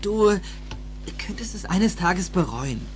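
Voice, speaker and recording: A man's voice: high-pitched, animated, slow, a little hiss or hum. An actor playing an earnest, nervous teenage boy in a dubbed film, hesitant and easily startled, often urgent. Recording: film soundtrack with music behind.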